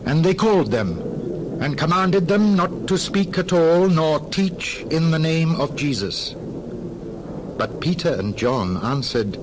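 A man narrating scripture in an expressive, scripted storytelling style. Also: fairly high pitch, takes it slowly, noisy background. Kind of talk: scripted, dramatized Bible narration.

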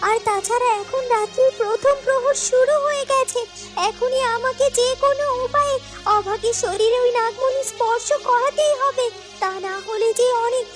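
Woman playing a very shrill, commanding serpent queen. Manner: urgent, emphatic. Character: anxious, commanding serpent queen of a fairy-tale snake realm. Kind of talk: dramatic cartoon voice acting. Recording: music under the voice.

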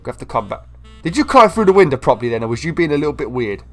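A man's voice: mid-range voice, very fast, soft background music. Chatty man, sniffly let's-play. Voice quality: chatty, excitable, sniffly from a cold. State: slightly ill, sniffly. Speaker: gameplay video host. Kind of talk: casual let's-play commentary.

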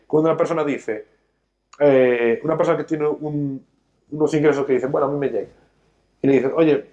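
A man's voice: fairly high pitch, dark tone, muffled recording. Emphatic man lecturing on a sofa. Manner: emphatic, lecturing, gestures a lot while talking. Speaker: financial educator, show host. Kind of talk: studio talk show discussion. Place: studio set, seated on a sofa.

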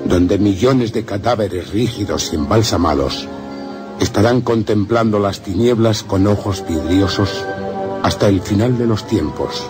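A man reads literary prose for radio in a lowish voice. His delivery is formal and solemn, and music plays clearly behind him. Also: a little slow. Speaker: radio reader. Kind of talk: scripted dramatic reading of literary essay. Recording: music under the voice.

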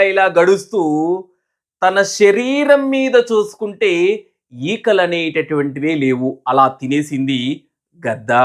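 A man narrating a moral story and advising students in a didactic, explanatory manner, in short repeated phrases. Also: fairly high pitch.

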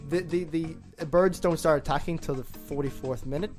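A man with a fairly high voice hosts a casual comedy show. He moves between loose chat and mock narration with a jokey, mocking manner, over faint music. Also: normal speed, a little mellow. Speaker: podcast host.

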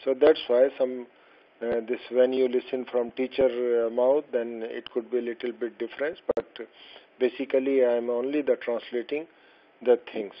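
Patient male language teacher, lo-fi voice-over. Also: medium pitch. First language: not Arabic. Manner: slow, patient explaining with many fillers and restarts. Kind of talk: explaining a textbook lesson. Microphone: voice-over over slides. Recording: dull lo-fi voice-over.

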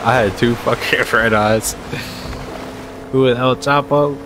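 A man's voice: mid-range voice, fairly even intonation, a little slow, over music. A man in his twenties chatting casually with friends while streaming a game, laid-back and slangy, swearing freely. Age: 20s. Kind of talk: casual gaming chat with friends. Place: indoor room, seated at a desk.

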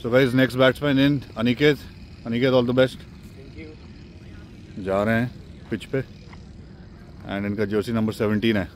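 A man's voice: mid-range voice, steady tone, takes it slowly, long pauses, a little hiss or hum. A man casually narrating a match-day vlog at an outdoor cricket ground, chatty and explaining the plays as they happen. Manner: chatty, mixes English into Hindi, explains plays. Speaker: vlogger and amateur cricket player.

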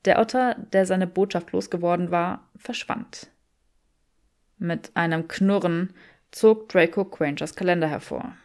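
A woman's voice: on the low side, a little slow, a little mellow. A woman reading scripted fiction aloud as an audiobook narrator.

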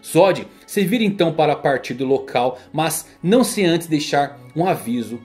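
A man's voice: on the high side, expressive, moderate pace, darkish tone. A man with a Brazilian accent reading a scripted, dramatic story narration. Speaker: video narrator. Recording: music behind the voice.

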